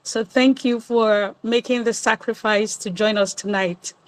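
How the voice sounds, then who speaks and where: A woman, hoarse from a cold, giving a webinar talk from her phone. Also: fairly high pitch, fairly even intonation, a little slow, low-quality recording. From Nigeria. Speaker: guest speaker. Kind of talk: webinar presentation. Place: online video call.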